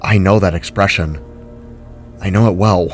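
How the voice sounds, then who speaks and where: A man voicing an earnest, caring male spark, a desperate father in a fantasy story, with a halting, gentle delivery. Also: low voice, steady tone, faint music behind, voice pushed down, close to an everyday voice. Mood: gentle concern.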